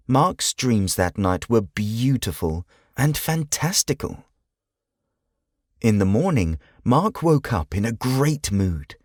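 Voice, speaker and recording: A man narrates a children's tale in a measured storyteller's manner. His delivery is slow and his pitch moves expressively from phrase to phrase. The sound is close and dry. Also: darkish tone, dry recording. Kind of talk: scripted children's audiobook narration.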